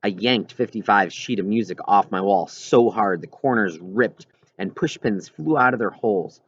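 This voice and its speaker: A man's voice: on the low side, moderate pace, no room sound. A man reading a first-person children's novel aloud as scripted narration. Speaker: audiobook reader. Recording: dull, lo-fi recording.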